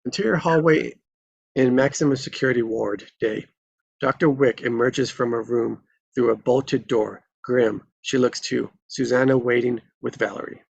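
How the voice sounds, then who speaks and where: Casting: male narrator for screenplay stage directions, raspy with vocal fry, dry close sound. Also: mid-range voice, moderate pace, no echo, muffled recording. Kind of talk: scripted narration at a live table read.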